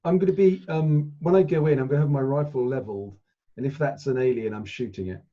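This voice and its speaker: A man's voice: medium pitch, quick pace, warm tone. A man talking casually as a tabletop game player, decisive and matter-of-fact, on a dull microphone.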